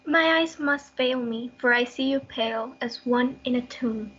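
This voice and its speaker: A desperate, defiant girl in love, acted by a young woman in a stage-play reading. Her voice is high and bright. The recording is slightly muffled. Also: unhurried, slightly rough. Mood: despairing.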